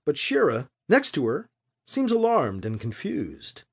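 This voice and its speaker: A man's voice: on the high side, huge pitch swings, unhurried. A man reading fiction aloud as an audiobook narrator, in a dramatic scripted storytelling style.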